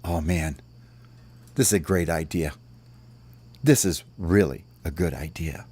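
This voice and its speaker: A man's voice: low voice, unhurried, mellow, slightly rough. A man voicing a young little bird, wary but friendly and playful, in a children's story. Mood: impressed.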